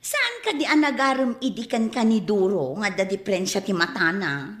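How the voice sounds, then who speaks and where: A woman's voice: medium pitch, expressive, moderate pace, natural voice. Gossipy Ilocano woman friend acted, roomy echo. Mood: gossipy, teasing. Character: chatty, giggly old schoolmate, female, gossipy. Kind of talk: Ilocano radio comedy-drama acting.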